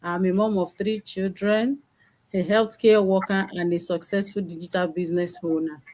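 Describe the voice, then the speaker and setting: Nigerian woman, welcoming co-host, mid-pitched. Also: fairly even intonation, moderate pace, a little mellow, slight background noise.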